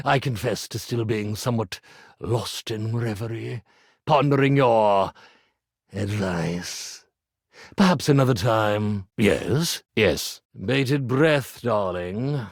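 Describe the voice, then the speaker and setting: A man's voice: mid-range voice, no echo, clean studio sound, full character voice. A man voicing a flamboyant, grandiose would-be magician, an enchanted household iron in a video game, with swooning showman delivery. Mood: romantic, wistful. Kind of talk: video game character acting.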